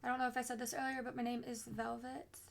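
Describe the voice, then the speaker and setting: A woman streamer with a hoarse, scratchy voice, chatting casually and rambling with lots of fillers. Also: on the high side, level tone, quick pace. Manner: rambling, filler-heavy, mutters to herself.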